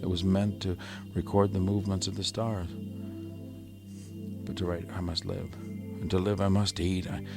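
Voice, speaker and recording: Weary scholarly male survivor: deep, creaky, deliberate and grave, radio-play monologue over music. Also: level tone. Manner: halting, grave, deliberate dramatic delivery. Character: exhausted, haunted male survivor of Martian invasion, scholarly. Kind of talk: 1930s-style radio drama monologue.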